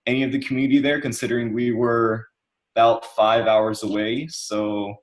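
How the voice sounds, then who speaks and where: A man in his twenties, born in the United States, talking on a panel over a video call in a relaxed, chatty way. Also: medium pitch, moderate pace, rough recording. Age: 20s. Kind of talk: casual panel talk.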